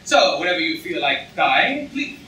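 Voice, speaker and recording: A man's voice: a little high, sing-song, normal speed, sharp tone. A young man playing a dashing, witty, defiant pirate hero in an amateur staged reading, with a theatrical delivery. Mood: defiant, mocking. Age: young adult. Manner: theatrical, declamatory. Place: lecture hall stage. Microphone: far camera. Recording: echoey lecture hall, distant, audience noise.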